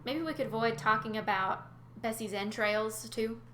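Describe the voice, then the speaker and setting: A gentle, jittery young male sidekick from a storybook , read by a woman. He talks hesitantly and squeamishly, in a room with audible echo. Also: on the high side, moderate pace. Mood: uneasy. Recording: roomy echo, faint background noise.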